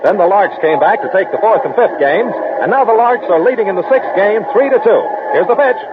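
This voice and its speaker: An actor playing a folksy, excitable baseball announcer calling a game in 1940s radio style. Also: male voice, high voice, fairly quick, very noisy. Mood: tense commentary. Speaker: baseball commentator. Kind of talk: 1940s radio play-by-play commentary. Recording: old tinny radio, loud crowd roar.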